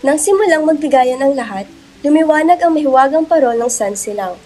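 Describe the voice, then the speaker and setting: Female radio drama narrator: high yet soft-toned, scripted storytelling over faint music. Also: lively intonation, a little fast.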